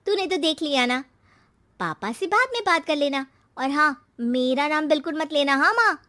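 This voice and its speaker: A woman's voice: high voice, expressive, moderate pace, pushed and bright, pitched above the performer's own voice. A woman voicing a scheming, jealous young daughter in a storybook tale, insinuating and complaining.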